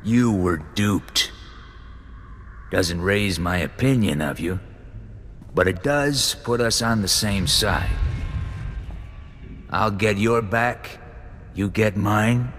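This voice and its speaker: A man's voice: lowish voice, slow pace, long pauses, fairly bright tone, a little hiss or hum. An actor playing a bitter, proud male officer in a game who speaks bluntly and with contempt. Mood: contemptuous. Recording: game audio with long reverb and score.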